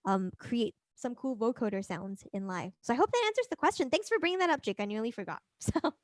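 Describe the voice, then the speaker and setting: A woman presenting a music production workshop as an informal software demonstration, chatting and thinking aloud as she works. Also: on the high side, lively intonation, a little fast, no room sound.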